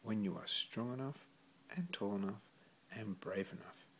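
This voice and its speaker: Man as a slow, reassuring puffin father. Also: on the low side, expressive, fairly bright tone, a little hiss or hum, poor audio. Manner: patient, measured, reassuring. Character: protective, encouraging adult male puffin parent. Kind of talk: storybook character voice.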